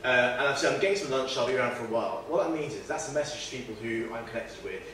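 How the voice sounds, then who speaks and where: A man's voice: mid-range voice, fast, a bit bright, distant mic. Chatty man presenting in echoey room. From not from northern England. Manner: chatty, self-deprecating, many fillers and restarts. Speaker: presenter at a meetup. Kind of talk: informal presentation. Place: event room with audience. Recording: very echoey room, faint noise.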